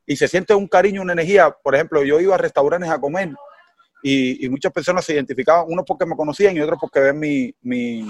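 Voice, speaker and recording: Casting: young Cuban man, Cuban accent, animated guest, slightly high voice, dry close sound. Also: breathy edge, no echo. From Cuba. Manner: animated, heavy fillers like "tú sabes" and "¿me entiendes?". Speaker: guest singer. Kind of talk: casual interview chat.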